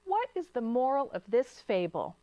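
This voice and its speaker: A woman voicing a gentle female teacher in a storybook, speaking in a calm teacherly tone. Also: a little high, normal speed, dry recording.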